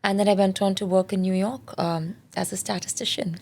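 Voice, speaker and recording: A woman's voice: medium pitch, steady tone, fairly quick, gravelly, darkish tone. A woman in her forties, born in India, speaking as a parenting specialist in a candid, reflective interview. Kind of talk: conversational interview.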